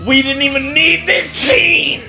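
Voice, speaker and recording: A man's voice: extremely high pitch, measured pace, gravelly, loud music behind the voice. A man co-hosting with comedic riffs, mocking and exasperated, swearing freely. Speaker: co-host. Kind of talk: comedic riffing commentary.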